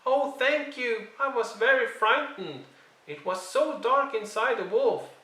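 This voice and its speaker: Man voicing a timid little girl, pitched up. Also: lively intonation, takes it slowly, bright, forceful tone, full character voice. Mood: relieved, shaken. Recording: roomy, distant microphone.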